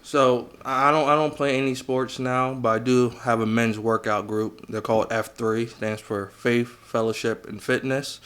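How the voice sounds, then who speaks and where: A man's voice: medium pitch, fairly even intonation, measured pace, few pauses, fairly bright tone, clear, resonant tone. A man with an American accent, chatting casually and informally as a guest in a podcast interview. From United States, Virginia. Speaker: podcast guest, business coach.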